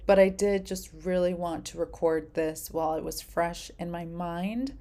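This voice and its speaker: A woman's voice: fairly low pitch, steady tone, a little slow. A woman hosting her own podcast, talking casually and encouragingly to listeners and reciting affirmations. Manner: gentle, encouraging, speaks in affirmations. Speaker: podcast host. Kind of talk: casual solo podcast talk.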